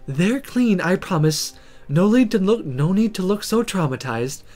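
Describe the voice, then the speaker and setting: A man voicing a calm, sultry female magic teacher in a visual novel, speaking softly and gently in a composed, instructing way. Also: high-pitched, unhurried, soft background music. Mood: soft, reassuring. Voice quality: soft.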